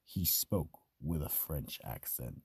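Male narrator for mystery radio: extremely low, husky, solemn, in a dry close studio sound. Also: expressive, slow, a little mellow. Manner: solemn, ominous storytelling. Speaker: narrator and host.

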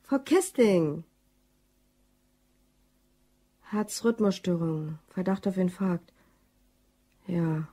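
A woman's voice: fairly low pitch, lively intonation, slow, warm tone, husky, exaggerated voice. An actress playing a self-assured, scheming female office worker in a crime radio drama, with a dry, bossy manner. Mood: polite, businesslike.

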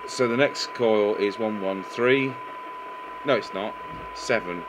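A man's voice: fairly low pitch, slow. A man giving an informal hands-on technical demonstration, matter-of-fact, thinking aloud as he works at a bench. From United Kingdom. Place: indoors at a repair bench. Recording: clearly noisy workshop camera recording. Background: steady background noise.

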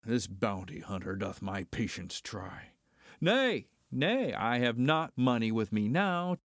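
A man's voice: mid-range voice, big pitch swings, slow, dry recording, clean studio sound. Roguish smuggler male actor, mid-range voice, wry and cocky, delivering archaic dramatic verse. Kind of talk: amateur Shakespearean dramatic reading.

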